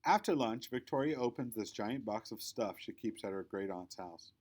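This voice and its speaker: A man reading a children's story aloud as narrator, in an expressive, emphatic read-aloud style. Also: lowish voice, normal speed. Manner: animated, emphatic. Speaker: storybook reader.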